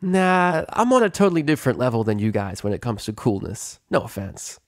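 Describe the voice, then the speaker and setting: A man's voice: medium pitch, big pitch swings, fairly quick, no echo, studio quality. Man voicing a brash, loyal tomboy pony mare. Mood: cocky. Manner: casual, cocky, earnest. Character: brash, loyal young female cartoon pony, tomboyish and caring.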